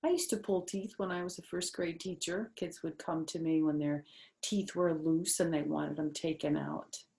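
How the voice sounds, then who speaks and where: Older woman reading storytime, lowish voice. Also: steady tone, moderate pace, vocal fry, a little mellow. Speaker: storytime reader, former first-grade teacher. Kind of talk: expressive children's read-aloud narration.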